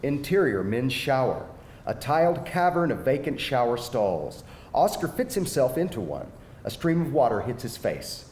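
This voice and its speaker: A man's voice: sing-song, normal speed, darkish tone. A man narrating a screenplay's stage directions as scripted narration at a live table read. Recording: echoey live room, faint rumbling noise.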